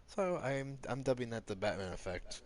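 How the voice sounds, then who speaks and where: A man's voice: medium pitch, very animated, moderate pace, a little hiss or hum. A man chatting casually while he plays a game. He swears constantly, laughs often and restarts his words as he rants. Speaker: streamer. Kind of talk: casual chat while gaming.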